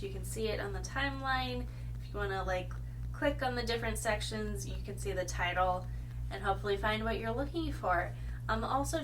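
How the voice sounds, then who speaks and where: A woman's voice: a little high, fairly bright tone, recorded from a distance, a little hiss or hum. A woman with a cold and a slightly congested voice chatting casually through a makeup review, rambling and correcting herself as she goes. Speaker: beauty vlogger. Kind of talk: casual product review to camera.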